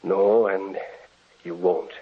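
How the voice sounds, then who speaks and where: A man's voice: fairly even intonation, husky, full character voice. Solemn grieving father, tinny old radio. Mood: mournful. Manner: low, measured, mournful. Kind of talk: 1940s radio drama acting.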